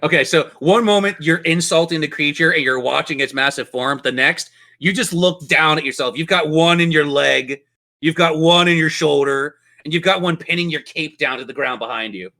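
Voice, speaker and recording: A man's voice: a little high, moderate pace, pushed and bright. A man narrating a tabletop fantasy game as game master, with vivid, playful descriptions.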